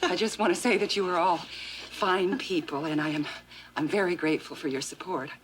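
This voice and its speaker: A woman's voice: on the low side, a little fast, very noisy, natural voice. An actress playing a proud, indignant hostess in her forties. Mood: gracious. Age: 40s. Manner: indignant, emphatic and theatrical. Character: proud sharp-tongued hostess woman, middle-aged. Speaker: restaurant hostess. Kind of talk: sitcom acting.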